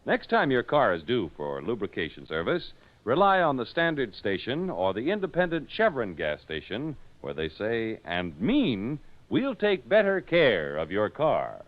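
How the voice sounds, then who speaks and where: Animated American old-time radio announcer man. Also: medium pitch, measured pace. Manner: polished, emphatic salesmanship. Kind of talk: 1940s radio announcing, scripted. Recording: dull 1940s radio recording with music.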